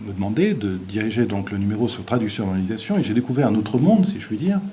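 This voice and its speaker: A male visiting university lecturer, a native Portuguese speaker, giving an academic lecture in a halting way with many restarts and fillers. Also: fairly low pitch, animated, dark tone, a little hiss or hum.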